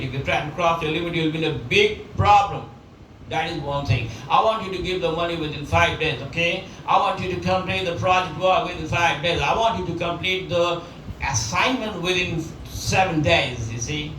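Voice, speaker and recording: Teacher in an echoey room. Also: male voice, on the high side. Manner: repeats example sentences, many fillers. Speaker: English teacher. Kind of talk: spoken English lesson. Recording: echoey room, mic at a distance, background noise.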